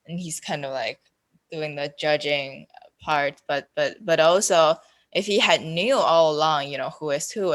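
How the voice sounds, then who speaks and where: A woman in a casual podcast discussion, speaking haltingly as she searches for words. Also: deep voice, a bit bright, dry recording. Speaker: podcast guest.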